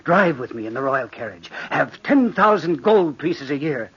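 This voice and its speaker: A living shadow passing as a prince in a vintage radio horror play. His husky voice is suave and courtly with a cunning, sinister edge. The recording is old and dull. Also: a little high, sing-song, moderate pace, fairly bright tone, full character voice. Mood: commanding. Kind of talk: old-time radio drama acting.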